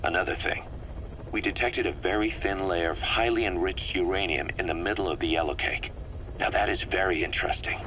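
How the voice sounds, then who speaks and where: An actor playing a serious, worldly military intelligence officer, giving a measured, grave briefing in a video game. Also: male voice, sits low, sharp tone, slight background noise, low-quality recording. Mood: grave, analytical.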